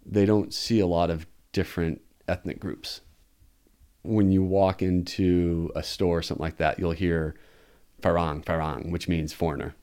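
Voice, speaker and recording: A man's voice: low-pitched, darkish tone. A man from the United States, in his thirties, who teaches math abroad, talking casually and thoughtfully with some hesitant restarts. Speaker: guest, expat math teacher. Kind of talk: casual interview chat.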